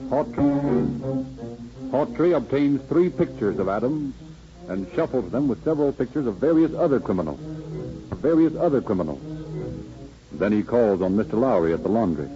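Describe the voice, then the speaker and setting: A man's voice: medium pitch, lively intonation, moderate pace, long pauses, over music. A man with an American accent reading scripted narration for a 1930s radio crime drama. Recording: muffled vintage radio recording.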